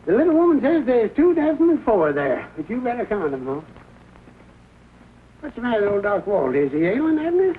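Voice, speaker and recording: An actor playing a folksy rural farmer with a creaky voice and a drawling Southern accent in a 1940s comedy film. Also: male voice, very high voice, wildly swooping pitch, moderate pace, exaggerated voice. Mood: folksy, chatty. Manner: drawling, folksy country talk. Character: folksy rural old-timer farmer, trading eggs. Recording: tinny, noisy 1940 film soundtrack.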